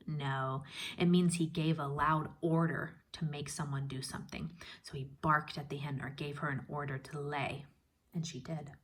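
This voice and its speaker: A woman teacher reading a children's story aloud expressively, pausing to ask questions and explain. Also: low voice, little pitch movement. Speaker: first grade teacher.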